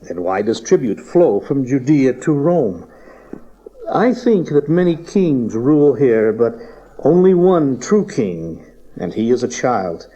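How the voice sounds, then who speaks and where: Haughty magus, mellow-toned. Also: male voice, mid-range voice, very animated, slow pace. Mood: firm, courteous. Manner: lofty, declamatory, pointed. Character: proud young male magus, haughty, clever Eastern sage. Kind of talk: dramatized Bible audio acting. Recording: dull cassette tape audio drama.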